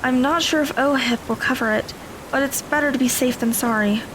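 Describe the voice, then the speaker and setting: A woman's voice: high voice, fairly quick, background noise, natural voice. A woman playing an earnest, tentative teenage girl heroine in an audio drama. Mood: pained.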